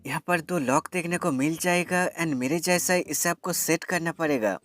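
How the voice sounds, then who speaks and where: Male tutorial narrator, somewhat high voice, instructional, drops in English words, light music bed. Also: moderate pace, fairly bright tone. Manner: instructional, addresses viewers as friends, mixes in English words. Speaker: tech reviewer giving an installation tutorial. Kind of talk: step-by-step product tutorial voice-over.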